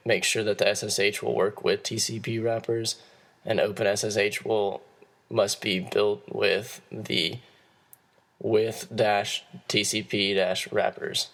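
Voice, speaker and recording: A hoarse young man, losing his voice, giving a class presentation and explaining steps in a halting way with frequent restarts. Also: fairly low pitch, fairly bright tone. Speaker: student presenter.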